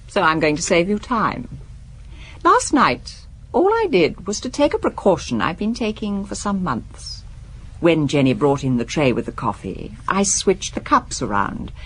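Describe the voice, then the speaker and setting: An actress playing a cool, guarded, disdainful wife under police questioning in a radio drama, speaking deliberately. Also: female voice, on the low side, a little hiss or hum, rough recording.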